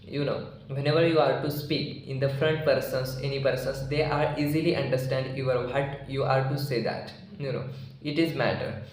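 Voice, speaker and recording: A man's voice: mid-range voice, steady tone, moderate pace. A young man in his twenties from India, a native Marathi speaker with an Indian accent, giving earnest advice to the camera with loose grammar and many "you know" fillers. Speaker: English-learning YouTuber. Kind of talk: talking-to-camera advice vlog. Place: small indoor room, plain wall. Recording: very echoey room, faint hiss.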